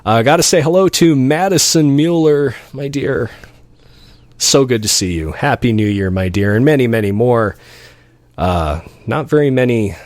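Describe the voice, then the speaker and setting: A man's voice: mid-range voice, lively intonation, moderate pace, no room sound. A man hosting a radio show, chatting casually between songs in a rambling, affectionate way. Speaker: radio DJ host.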